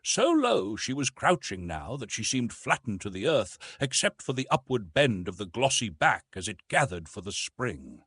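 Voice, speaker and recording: Male audiobook narrator, husky, highly animated pitch, dramatic and measured delivery for classic adventure fiction. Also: no echo. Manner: expressive, measured literary reading.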